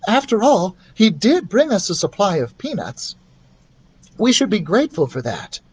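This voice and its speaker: A man as a high, fussing mother mouse. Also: very animated, moderate pace, breathy edge, exaggerated voice. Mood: worried, brave. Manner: gentle, fussing. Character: Ralph's mother, worried, brave, fussing female mouse.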